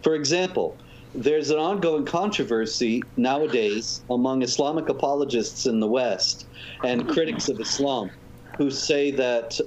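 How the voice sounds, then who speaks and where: Measured man explaining at length. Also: medium pitch, darkish tone. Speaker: guest author. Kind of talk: talk show interview. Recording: dull lo-fi audio with faint noise.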